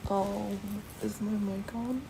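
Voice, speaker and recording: Casting: female game streamer, slow hesitant chat, creaky voice, heavy noise on the mic. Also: medium pitch, flat delivery. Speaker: livestreamer. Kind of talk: casual livestream chat. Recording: very noisy home stream mic.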